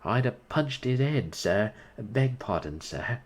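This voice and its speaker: A man's voice: medium pitch, lively intonation, slow, pitched above the performer's own voice. A man voicing a blunt young Cockney servant who drops his aitches. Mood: blustering. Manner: dropped aitches, rough working-class speech.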